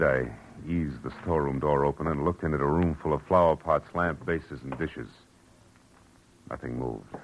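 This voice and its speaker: An actor playing a terse, world-weary private detective with an American accent in a 1940s radio drama. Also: male voice, rumbling low, slight background noise, low-quality recording. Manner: terse, hard-boiled, dry. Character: hard-boiled, world-weary male private detective narrating his case. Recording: vintage radio drama with music.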